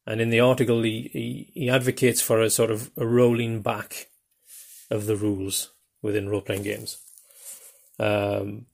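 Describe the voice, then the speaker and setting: An older man with a Geordie accent from northeast England, hosting his podcast in a rambling, self-deprecating way with many pauses and fillers. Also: lowish voice, little pitch movement, gravelly, darkish tone. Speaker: podcast host. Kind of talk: casual monologue.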